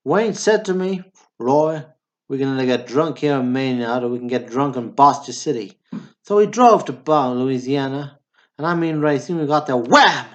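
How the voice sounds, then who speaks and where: A man's voice: a little high, close to an everyday voice. A man acting a brash, boastful small-town Texan in a radio drama, with a folksy, profane storytelling manner.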